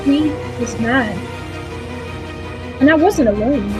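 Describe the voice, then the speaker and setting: A woman's voice: high-pitched, slow. A woman playing a reflective storyteller, narrating a 1940s style radio play. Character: reflective storyteller recalling a mad professor acquaintance. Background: music.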